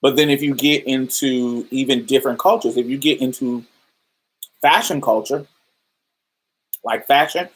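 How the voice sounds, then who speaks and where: A woman's voice: very deep voice, husky, rough recording. Woman podcast host, thinking aloud. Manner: loose and thoughtful, with many fillers and restarts. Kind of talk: casual livestream podcast talk.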